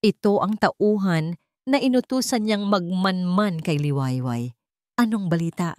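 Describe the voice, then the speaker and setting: A woman tells a dramatic story as a scripted narration. Her voice sits fairly low, and the recording is dry and close, with little room sound. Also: measured pace, no room sound.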